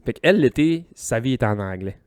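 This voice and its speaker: A man's voice: medium pitch, moderate pace, clear, resonant tone, no echo. Joking Québécois man, dry close mic. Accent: Québécois French. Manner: relaxed, joking, self-mocking. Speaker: podcast co-host. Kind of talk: casual chat.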